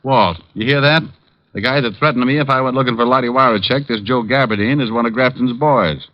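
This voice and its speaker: A man's voice: mid-range voice, a little fast, lightly acted. A man playing a brash, persistent private detective with a wry, sardonic manner in 1940s radio style. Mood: urgent, insistent. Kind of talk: 1940s radio acting and first-person narration. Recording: dull lo-fi old radio recording.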